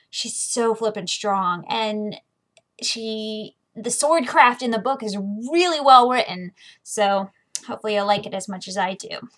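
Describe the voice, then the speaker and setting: A woman with an American accent and a fairly high voice. She hosts a book reading series and speaks in an informal, conversational way, as if talking straight to her viewers. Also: fairly bright tone. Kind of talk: casual chatty vlog, then reading a novel aloud.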